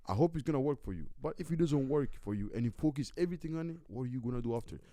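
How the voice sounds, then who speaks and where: Young guy in his 20s rapid-firing on a podcast, keeps saying you see what i'm saying, dry close mic. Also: mid-range voice, expressive, mellow, no echo. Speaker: podcast co-host. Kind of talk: casual podcast chat. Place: small indoor studio set with couch. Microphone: studio mic on stand, headphones.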